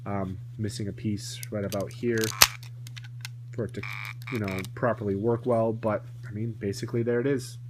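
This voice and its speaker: A man's voice: on the low side, flat delivery, normal speed. A man giving a casual, rambling hands-on toy review, often correcting himself as he goes. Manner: rambling, self-correcting asides. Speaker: toy collector reviewing a vintage toy. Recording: noisy home recording with electrical hum.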